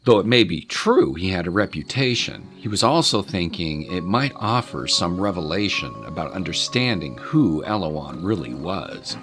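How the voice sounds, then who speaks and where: A man narrating a scripted story in a measured, literary storytelling manner. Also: deep voice, very animated, music in the background.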